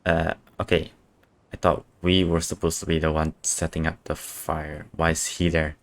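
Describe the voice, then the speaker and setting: A man with a low voice comments casually on a game as he streams it. He is dry and sardonic, and swears freely. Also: flat delivery, normal speed, slight background noise.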